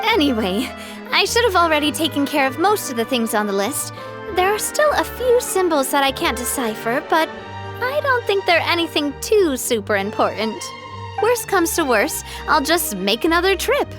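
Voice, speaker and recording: A woman's voice: high-pitched, big pitch swings, normal speed. An actress playing a friendly, easygoing young woman who leads a group, in a video game. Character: cheerful young woman, a gang's boss. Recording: game audio with music behind.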